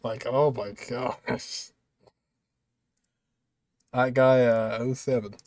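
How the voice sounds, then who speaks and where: A man's voice: mid-range voice, fairly even intonation, very slow, darkish tone, no room sound. Casual young man reacting. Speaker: reaction video host.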